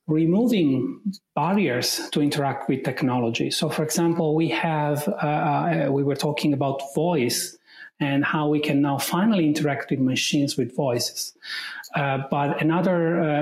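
A man's voice: on the high side, darkish tone, roomy sound, poor audio. A man with an Italian accent, an AI specialist answering interview questions thoughtfully, with many fillers and restarts. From Italy. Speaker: AI specialist guest.

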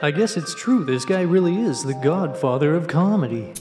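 Man as mock infomercial announcer, smarmy, sarcastic, long reverb, clear music bed, parody ad. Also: a little high, expressive, normal speed, lightly acted. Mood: mock-sincere salesmanship. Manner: sarcastic, salesman-like. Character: smarmy mock infomercial pitchman for a hack comics' program. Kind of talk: parody commercial voice-over.

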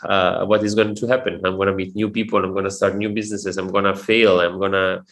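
Guest: middle-aged man, Italian native, lowish voice, earnest and halting. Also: flat delivery, fairly bright tone. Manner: earnest, many hesitations and repeated words. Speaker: guest, investor. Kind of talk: interview conversation.

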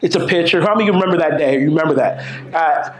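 A man in his forties with a New York accent delivers a sermon, joking with the room and tripping over repeated words as he restarts. His voice sits high for a man. The sound carries a roomy echo, as in a church hall. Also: very fast, a crowd in the background. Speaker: pastor. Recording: echoey room.

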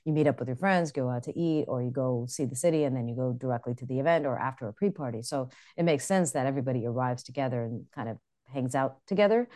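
A woman with an American accent, co-hosting a podcast in casual, animated chat. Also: rumbling low, quick pace, few pauses. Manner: chatty, animated storytelling with frequent laughs. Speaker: podcast co-host.

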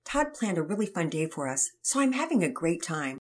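A woman's voice: fairly low pitch, big pitch swings, fairly quick, husky. A woman voicing a caring but skeptical mother who speaks wryly about her son's newfound faith. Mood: friendly. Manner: wry, doubtful.

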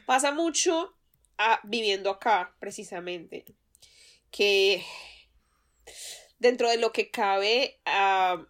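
A woman's voice: medium pitch, lively intonation, slow, long pauses, a bit bright. A woman from Colombia with a Colombian accent, co-hosting a podcast in casual chat, laughing often and restarting her sentences. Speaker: podcast co-host.

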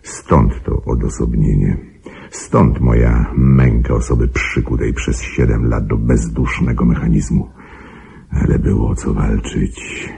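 A calculating murderer in a radio drama. His extremely low, dark voice is thick with creak and rasp as he justifies himself with menacing regret. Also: steady tone, unhurried, slight background noise, heavily acted. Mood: self-justifying. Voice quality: very deep, gravelly, husky. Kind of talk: radio play acting.

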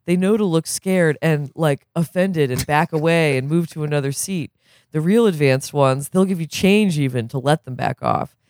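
A man's voice: a little high, normal speed, darkish tone, dry recording, studio quality, close to an everyday voice. A man voicing a ragged, paranoid addict from a novel, urgent and confiding, with slangy, insistent talk. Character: ragged paranoid male addict, urgent, confiding, convinced people are machines.

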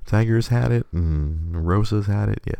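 Congested man with a deep, gravelly voice. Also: measured pace, dark tone, no room sound. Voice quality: extra bass in the voice from illness. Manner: rambling, self-correcting, chatty. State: congested, sick voice. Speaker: podcast host. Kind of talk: casual live-streamed podcast monologue.

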